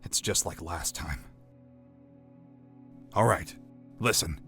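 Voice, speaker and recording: A man playing a gruff, cynical veteran soldier and survivor, terse, urgent and commanding. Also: sits low, slow pace, fairly bright tone, heavily acted. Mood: urgent, hushed. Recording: music behind the voice.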